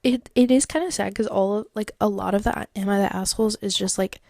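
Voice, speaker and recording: A woman hosts a solo podcast, reading advice posts aloud and rambling between them with plenty of fillers. Her voice sounds congested and stuffed up, with a gravelly, creaky texture and a dark, mellow tone. The sound is dry and close to the mic. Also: mid-range voice, fairly even intonation, brisk, no echo. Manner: chatty and rambling, many likes and fillers. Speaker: podcast host.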